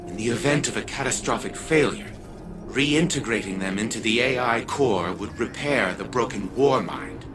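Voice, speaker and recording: An actor playing an arrogant old scientist turned artificial mind in a video game, formal and condescending. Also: male voice, mid-range voice, normal speed, close to an everyday voice. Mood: grave, imperious. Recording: loud music under the voice.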